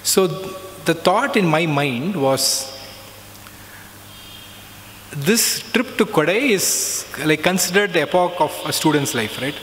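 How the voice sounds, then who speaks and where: A middle-aged man tells a reflective personal story, often pausing with "uh" and "so". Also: on the high side, lively intonation, fairly bright tone. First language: not Hindi. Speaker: alumnus giving a talk. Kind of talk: personal spiritual talk. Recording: long reverb, faint background noise.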